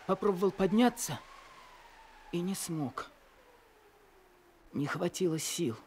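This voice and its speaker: Exhausted young narrator, high voice, earnest, quiet, radio play. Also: male voice, expressive, takes it slowly, a little hiss or hum, exaggerated voice. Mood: weary. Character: feverish, exhausted young man narrating his ordeal. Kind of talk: radio drama narration and dialogue.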